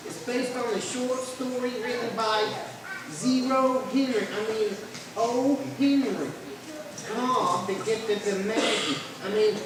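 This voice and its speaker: A woman plays an upbeat young puppet buddy, brash and slangy. The voice is on the high side. It comes from a distance in a hugely reverberant, noisy hall at a live puppet show. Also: lightly acted. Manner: loud, exclamatory, casual slang. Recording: echoey noisy hall from far off.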